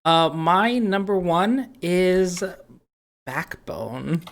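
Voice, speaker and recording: A man's voice: high-pitched, expressive, drawn-out words, vocal fry. A man hosting a podcast, chatting casually about games and thinking aloud in a rambling way. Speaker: podcast host.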